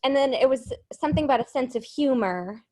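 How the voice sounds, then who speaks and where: A woman in her thirties with a light Massachusetts accent chats as an interview guest. She is bubbly and self-deprecating, and her voice sits fairly high. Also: expressive, a little fast, warm tone. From Cape Cod, Massachusetts. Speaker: singer-songwriter guest. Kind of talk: casual interview chat.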